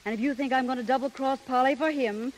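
A woman's voice: high-pitched, steady tone, fast, a little hiss or hum, lightly acted, low-quality recording. An American actress playing a brassy, loyal young showgirl, blunt and wisecracking, in 1930s radio acting style. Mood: indignant. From United States. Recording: 1930s radio recording, faint music.

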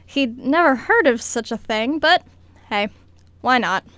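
Woman narrator, scripted story reading, fairly high voice, dry sound. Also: expressive, a little slow, fairly bright tone, dry recording. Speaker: narrator of radio skit.

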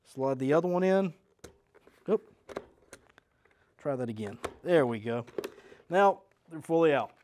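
A man's voice: a little high, lively intonation, unhurried, long pauses, a little mellow, a little hiss or hum. A middle-aged American man presenting a step-by-step product demonstration as a sales rep, in a plain-spoken, practical way. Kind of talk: step-by-step instructional product demo. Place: small indoor studio set with desk and shelves.

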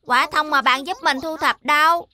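A woman's voice: high voice, normal speed, pushed and bright. A woman voicing a small, childlike squirrel with a plaintive manner in a dubbed children's cartoon. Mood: waiting, plaintive. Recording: music behind the voice.

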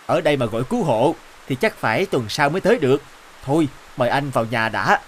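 A man's voice: medium pitch, very animated, fast, slight background noise, close to an everyday voice. A man voicing a kind, courteous young village father in a cartoon. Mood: calm, helpful. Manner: plain-spoken, courteous. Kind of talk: animated cartoon dialogue.

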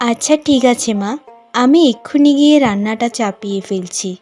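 Woman doing a young village bride, high voice, patient n pleading, cartoon, faint music behind. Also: moderate pace, a little mellow, natural voice. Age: young adult. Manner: pleading, deferential. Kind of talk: cartoon voice acting.

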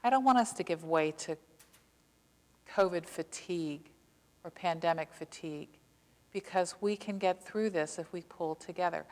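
Older lady pastor preaching from the pulpit, lowish voice, earnest and fired up, church echo hanging on every line. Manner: earnest, impassioned preaching with slow emphatic stresses. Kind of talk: sermon. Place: church sanctuary, behind a pulpit. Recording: long church reverb.

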